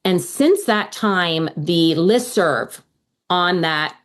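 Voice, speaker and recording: Female law professor guest, low voice, native Hebrew speaker, earnest reflective delivery. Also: animated, drawn-out words. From United States. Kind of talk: podcast interview.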